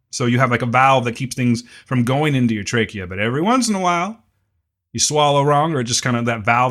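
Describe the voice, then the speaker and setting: A man with a scratchy, slightly hoarse voice, co-hosting a podcast in casual chat, teasing and explaining with mock authority. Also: medium pitch, a bit bright. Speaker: podcast co-host.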